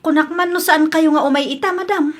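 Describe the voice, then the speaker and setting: A woman's voice: sits high, steady tone, brisk, fairly bright tone. A woman acting a flustered, evasive and deferential shop employee in an audio comedy drama. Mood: nervous, pleading.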